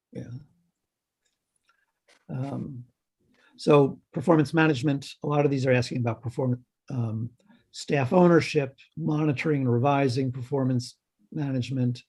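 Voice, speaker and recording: A man giving a halting update at a health committee meeting over a video call, slightly hoarse, with many ums and restarts. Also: mid-range voice, mellow. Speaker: health department staff presenter.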